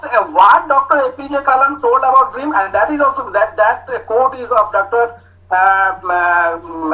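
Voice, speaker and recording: A man with an Indian English accent giving an online talk to students, speaking slowly with many hesitations as he reads out their answers. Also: very high voice, pushed and bright. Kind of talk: online lecture with student chat. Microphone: video call. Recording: muffled video call audio.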